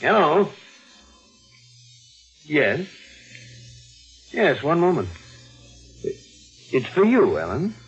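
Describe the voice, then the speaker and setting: A kindly, concerned family doctor of middle age in a 1940s radio mystery. He reassures and advises with lively, wide-ranging intonation. It is an old radio recording. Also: on the high side, extremely slow, long pauses, faint music behind, heavily acted. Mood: genial, reassuring. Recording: muffled vintage radio recording.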